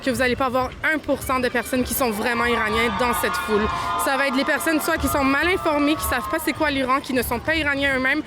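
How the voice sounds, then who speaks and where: A high-voiced woman protester speaks forcefully, pushing her points and rising to a shout. Music and a thick crowd fill the street behind her. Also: fairly even intonation, fast, few pauses. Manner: emphatic, raises voice. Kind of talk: street interview. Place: outdoor city protest.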